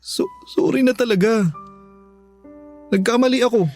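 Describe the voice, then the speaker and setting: A man's voice: very high-pitched, steady tone, moderate pace, darkish tone. A man with a Filipino accent playing an apologetic, sweet-talking, humble husband in a radio drama. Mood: ashamed. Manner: pleading, sincere, coaxing. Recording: music under the voice.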